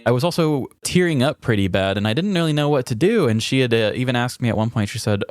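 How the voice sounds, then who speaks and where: A man's voice: mid-range voice, expressive, fast, no room sound, clean studio sound. A man co-hosting a podcast, telling personal stories in a casual, candid and self-reflective way. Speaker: podcast co-host.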